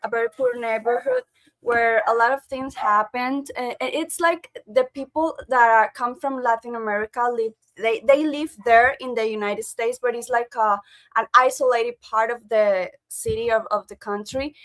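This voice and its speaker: Woman, book club host, video call, second-language English, hesitant, fillers, slightly high voice. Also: moderate pace, fairly bright tone. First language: not English. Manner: hesitant, many fillers and restarts. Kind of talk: casual online meeting chat.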